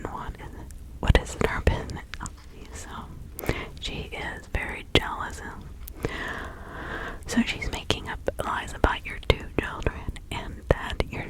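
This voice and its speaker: A woman playing a reclusive, gossipy village witch of the 1600s in a confiding, rambling ASMR roleplay, all in a breathy whisper. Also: close to an everyday voice, poor audio. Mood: musing. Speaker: ASMR performer.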